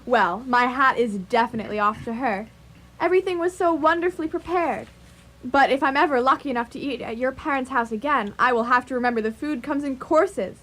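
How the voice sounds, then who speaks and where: A woman's voice: high voice, a little fast, fairly bright tone. An actress playing a friendly, chatty young woman on a first date in a radio play, polite and gushing. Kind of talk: radio theatre acting.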